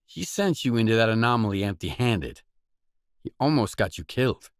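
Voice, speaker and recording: A man's voice: on the low side, very animated, normal speed, no room sound, clean studio sound. An actor playing a wary, pragmatic male loner stalker in a video game, speaking in a terse, blunt, matter-of-fact way. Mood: blunt, disapproving. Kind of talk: game dialogue voice lines.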